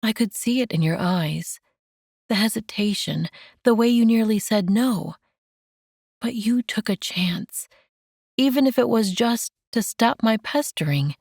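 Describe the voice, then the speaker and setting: A woman audiobook narrator plays a caring young woman in a romance story. She speaks slowly in a raised pitch, tender and encouraging with a gentle teasing streak. The recording is dry and close. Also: lively intonation, vocal fry, slightly rough, no echo, heavily acted. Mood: gently teasing.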